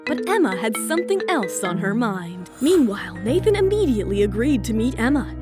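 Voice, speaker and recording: High-pitched woman narrating a romance drama. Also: sing-song, brisk, music in the background.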